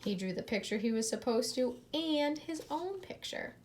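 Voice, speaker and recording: A woman reading a picture book aloud in an expressive, teacherly way, pausing to address young listeners with questions. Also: on the high side, slight background noise. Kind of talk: expressive children's read-aloud with asides and questions.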